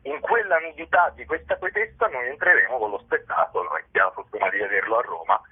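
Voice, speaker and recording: A man's voice: medium pitch, fast, slightly rough. A man giving an explanatory radio interview as a guest, with literary, rhetorical phrasing. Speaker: playwright and director, interview guest. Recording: tinny, narrow telephone line.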